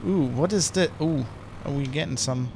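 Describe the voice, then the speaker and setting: A man's voice: on the high side, normal speed, vocal fry, noisy background. A man giving rambling, casual commentary while streaming a flight sim. He restarts and corrects himself often. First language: German.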